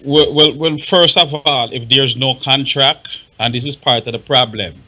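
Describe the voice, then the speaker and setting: A man's voice: medium pitch, normal speed, bright, forceful tone, rough recording. A man with a Jamaican accent on a talk panel, explaining and advising emphatically and repeating himself for stress. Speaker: radio panelist advising on music rights.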